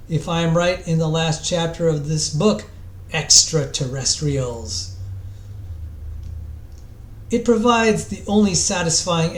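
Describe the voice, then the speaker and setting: A high-pitched male voice put on by a man declaims a book introduction in an echoey room. The delivery is theatrical and solemn, with grand dramatic pauses and the odd stumble over a repeated word. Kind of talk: mock-dramatic reading aloud.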